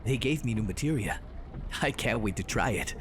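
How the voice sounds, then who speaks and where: An actor playing an ambitious, restless young soldier in a video game, eager and a little cocky. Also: male voice, on the low side, animated, moderate pace, noisy background, natural voice. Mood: upbeat, restless. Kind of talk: video game character dialogue.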